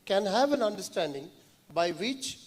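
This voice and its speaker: A man's voice: high-pitched, animated, moderate pace, slightly rough. A man with an Indian accent moderating a panel discussion, asking long questions and switching between languages. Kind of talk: moderating a panel in mixed Hindi and English.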